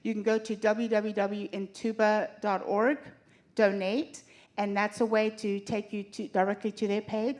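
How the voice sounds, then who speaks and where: A woman from Zimbabwe hosting a church seminar, introducing board members and laying out the agenda in an organized way. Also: mid-range voice. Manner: warm, organized, introduces speakers and lays out the agenda. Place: church hall.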